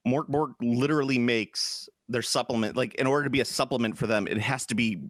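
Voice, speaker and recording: A man's voice: medium pitch, steady tone, a little fast, no echo, studio quality. A man co-hosting a casual gaming podcast, joking and laughing often, who slips into silly put-on accents. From Polish background. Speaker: podcast co-host. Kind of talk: casual chat.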